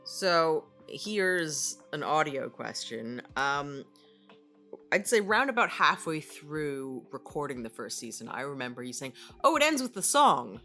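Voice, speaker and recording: Female co-host, low pitch, crisp bright edge, dryly playful in relaxed conversation, faint music behind. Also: measured pace. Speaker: podcast co-host and voice actor. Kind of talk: casual chat, discussion.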